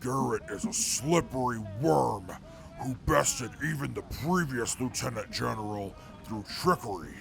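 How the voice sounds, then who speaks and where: An actor playing a wary male soldier who reasons things through in a slow, gravelly voice. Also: mid-range voice, animated, fairly bright tone, soft background music, full character voice. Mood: suspicious. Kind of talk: fan audio drama acting.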